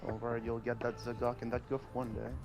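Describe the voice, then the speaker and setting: A man co-hosting a stream, making dry deadpan remarks. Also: mid-range voice, fast talker, faint music behind. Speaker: co-host. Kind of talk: casual livestream chat.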